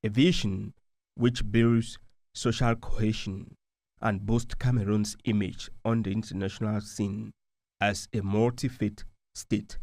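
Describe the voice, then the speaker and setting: Slow, deliberate male news narrator. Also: on the low side, little pitch movement, vocal fry, darkish tone, dry recording. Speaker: news reporter. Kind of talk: scripted news voice-over.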